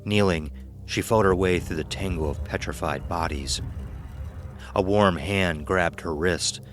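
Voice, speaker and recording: A man narrating a scripted horror story in a measured, dramatic, suspenseful way. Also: low voice, fairly bright tone, faint music behind.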